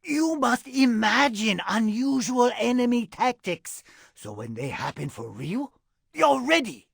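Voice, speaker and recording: An aging, eccentric rat sensei from an animated game, husky and full of gravel. He teaches in a slow, theatrical way, like a father instructing his sons. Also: very high-pitched, dry recording, studio quality, exaggerated voice. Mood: calm guiding. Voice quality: raspy, gravelly. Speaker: martial arts teacher.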